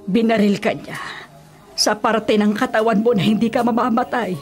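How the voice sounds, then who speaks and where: A woman's voice: on the high side, steady tone, normal speed, faint music behind, lightly acted. An actress with a Filipino accent playing a loving, imploring mother of a wayward grown son in a radio drama. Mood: earnest explaining. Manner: earnest, imploring.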